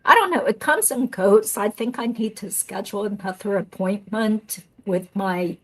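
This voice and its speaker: A woman's voice: medium pitch, moderate pace, a bit bright, poor audio. A woman host, a lawyer, chatting casually in an upbeat way and explaining court matters. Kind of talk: casual chat and legal commentary.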